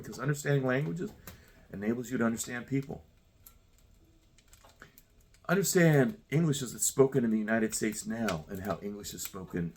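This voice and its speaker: A man's voice: mid-range voice, slightly rough. A man in his sixties delivering a profane, confrontational rant straight at the listener. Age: 60s. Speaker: commentator. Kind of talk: ranting monologue.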